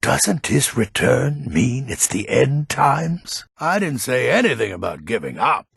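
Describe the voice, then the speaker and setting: Gravelly, grumpy old fantasy game man. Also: mid-range voice, very animated, a little slow, fairly bright tone, no room sound, studio quality, heavily acted. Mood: grave, deliberate. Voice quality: raspy, gravelly. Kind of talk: fantasy video game character acting.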